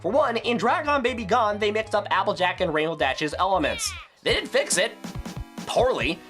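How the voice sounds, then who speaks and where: A man gives critical commentary in the style of an online review. His pitch moves around in an animated way, and music plays behind him. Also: normal speed.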